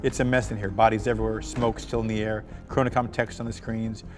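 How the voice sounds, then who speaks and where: Fast-talking man reading stage directions. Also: fairly low pitch, flat delivery, music in the background. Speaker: script narrator at a table read. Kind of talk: reading screenplay directions aloud.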